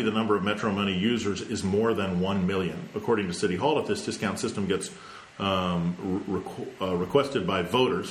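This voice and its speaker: A man's voice: fairly low pitch, a little hiss or hum. A man hosting a casual news roundup, reading items aloud and breaking off to joke about them. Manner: reads news items then riffs with jokes. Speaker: news podcast host. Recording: echoey room, faint ambience.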